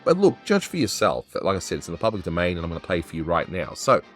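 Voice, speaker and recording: A man hosting a casual cartoon review, talking in a relaxed, offhand way and chuckling often. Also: sits low, fast talker, faint music behind. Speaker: animation reviewer, host.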